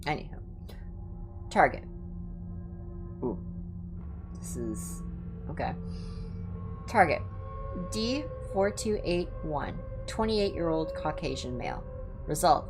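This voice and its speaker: A woman's voice: low voice, sing-song, slow pace, long pauses. A woman hosting a livestream, reading clinical horror reports aloud with informal asides and the odd stumble over hard words. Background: music.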